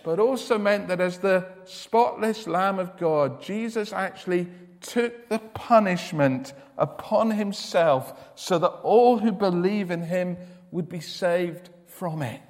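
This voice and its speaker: A man preaching a sermon with a loud voice, emphatic and given to repeating his words as he starts a sentence. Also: high voice, takes it slowly, big-room sound.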